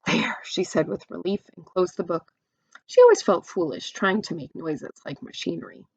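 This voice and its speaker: A woman's voice: on the low side, normal speed, darkish tone, husky, no echo, dull audio. A woman reading a children's storybook aloud expressively, like a teacher to young listeners.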